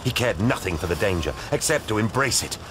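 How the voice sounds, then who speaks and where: Dramatic male narrator, very animated delivery. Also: fairly low pitch, fairly quick, noisy background. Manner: solemn, theatrical. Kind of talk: dramatic scripted war narration.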